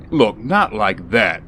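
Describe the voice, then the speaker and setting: A weary ex-soldier bodyguard acted by a man in an audio drama. He speaks fairly low, dry and straight, with faint vehicle noise behind him. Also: sing-song, extremely slow, sharp tone, exaggerated voice. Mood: exasperated, dry.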